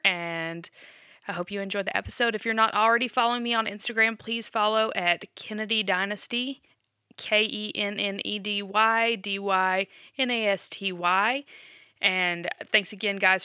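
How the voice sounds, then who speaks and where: A woman's voice: medium pitch, pushed and bright, smooth, no room sound, studio quality. A woman hosting a podcast, chatting in an upbeat, casual way and laughing easily. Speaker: podcast host.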